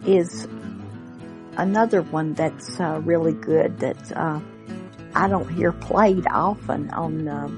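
A woman's voice: low-pitched, slow pace, over music. A woman hosting a radio show with casual, hesitant, rambling unscripted introductions.

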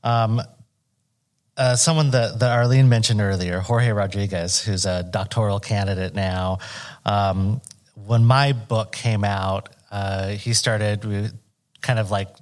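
A man's voice: lowish voice, steady tone, slow pace. A man moderating an academic panel, asking a long question with frequent fillers and hesitations.

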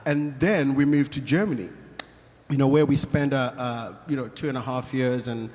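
A man's voice: mid-range voice, normal speed, darkish tone. Zimbabwean man talking in a reverberant space. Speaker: interview guest, worship team musician. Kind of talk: casual personal interview. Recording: long reverberant room echo.

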